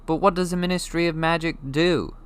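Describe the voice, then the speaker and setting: A man's voice: a little high, little pitch movement, a little fast, clean tone, dry recording, pitched above the performer's own voice, lightly acted. A man reading an audiobook, voicing a curious young boy who asks eager questions. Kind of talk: audiobook character voice.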